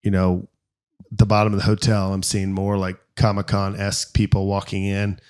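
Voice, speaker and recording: A man's voice: fairly low pitch, normal speed, clear, resonant tone. A man co-hosting a podcast, telling a long story in casual chat with lots of fillers and restarts. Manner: rambling, filler-heavy, animated storyteller. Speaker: podcast co-host.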